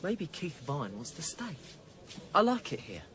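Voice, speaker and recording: An actor playing a cocky, evasive small-time criminal in a sardonic, bluffing manner in a naturalistic radio drama. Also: male voice, a little high, wildly swooping pitch, unhurried, fairly bright tone, a little hiss or hum, heavily acted. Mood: wary, defiant.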